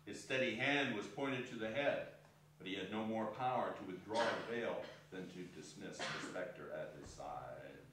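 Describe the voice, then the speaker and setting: A man with a fairly low voice narrates a ghostly tale dramatically. He is heard from far off in a very echoey hall, with faint noise. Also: moderate pace, a bit bright. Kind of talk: scripted dramatic narration, stage reading.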